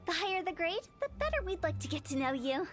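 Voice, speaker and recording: A woman voicing a mischievous young female funeral director who makes cheerful, macabre sales pitches in a game. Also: very animated, quick pace, soft background music. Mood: teasing. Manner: teasing, salesy, darkly playful. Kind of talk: video game voice acting.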